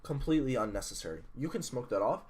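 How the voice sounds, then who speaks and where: A man reviewing a match replay as a coach, blunt and analytical, a little hoarse from losing his voice. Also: medium pitch, expressive, quick pace. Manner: analytical, blunt, swears at software glitches. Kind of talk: casual match review commentary.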